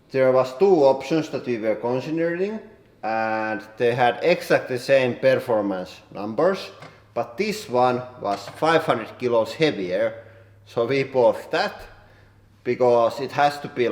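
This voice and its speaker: Finnish guy showing off his workshop, lots of "like", big hall echo all around him. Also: medium pitch. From Finland. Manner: plain halting English with many "like" fillers. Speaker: workshop owner and channel host. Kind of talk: casual workshop tour. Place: large industrial workshop hall. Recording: roomy echo with long hall reverb.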